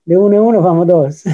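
A man's voice: high voice, mellow, dull audio. An Italian man with an Italian accent, a professor being interviewed, searching for words in a second language and repeating for emphasis. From Italy. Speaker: interviewed professor and neurology researcher. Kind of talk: interview answers, explaining.

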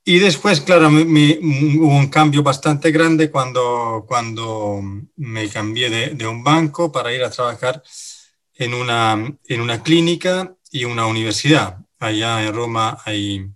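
Man, native Italian speaker, thirties, interview guest, halting, self-correcting, filler sounds. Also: medium pitch, steady tone, fairly bright tone. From Italy. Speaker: interview guest, finance director. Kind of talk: casual interview talk.

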